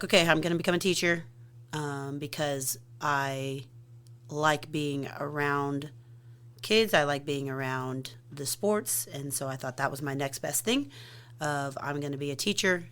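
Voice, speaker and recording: A woman in her thirties with a notably low voice talks easily in a podcast conversation. She is chatty and self-deprecating, often laughing at herself. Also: a bit bright. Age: 30s. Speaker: softball coach.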